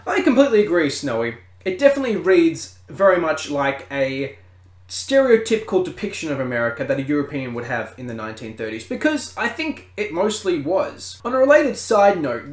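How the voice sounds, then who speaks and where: A man hosting a podcast, reading scripted commentary and then chatting casually through listener comments. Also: a little high. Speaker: podcast host.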